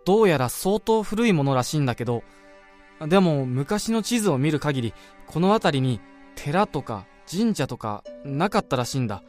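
Radio drama role, male actor: gossipy, excitable male colleague, lightish pitch, very animated delivery, music underneath. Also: moderate pace. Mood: eerie, explaining. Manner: animated, exasperated, informal storytelling. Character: excitable, gossipy male office colleague relishing an eerie rumour. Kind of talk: Japanese radio drama acting.

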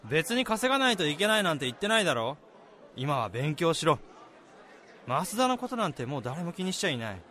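A man performs a senior male salesman in a radio drama, gruff but caring. His fairly high voice moves widely in pitch as he gives blunt, casual advice. A crowd murmurs behind him. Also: sing-song, a little fast, sharp tone. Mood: gruff, reassuring. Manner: blunt, casual, firmly advising. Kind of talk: Japanese radio drama dialogue and narration.

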